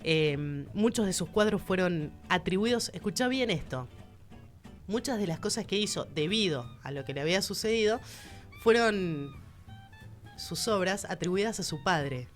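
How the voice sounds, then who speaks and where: Rioplatense lady hosting an art history bit, chatty and emphatic, lowish voice, faint music under it. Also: expressive, moderate pace. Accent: Rioplatense Spanish. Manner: chatty, emphatic, addresses the listener directly. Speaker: culture segment host. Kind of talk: casual explainer talk on art history.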